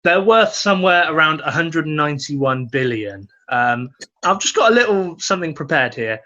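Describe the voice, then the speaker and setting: Bright-voiced man, slightly dull podcast recording. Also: on the high side, animated, normal speed. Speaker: comedy podcast co-host. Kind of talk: casual comedic opinion chat.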